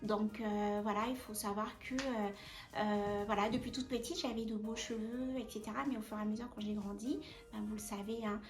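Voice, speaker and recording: A woman's voice: mid-range voice, steady tone, normal speed, a little mellow. A woman, chatting casually and hesitantly to camera as a vlogger sharing advice. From French Guiana. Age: 20s. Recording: echoey room.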